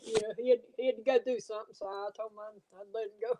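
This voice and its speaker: A woman's voice: a little high, fairly even intonation, very fast, mellow. A woman in casual chat who repeats herself and restarts her sentences often. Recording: noisy line with clicks.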